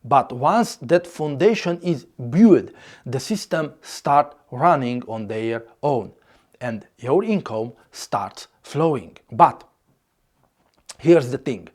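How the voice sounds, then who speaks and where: Slow-speaking man with a Hungarian accent. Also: mid-range voice, expressive, darkish tone. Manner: measured, slightly non-native phrasing. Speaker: YouTuber. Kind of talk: scripted vlog narration.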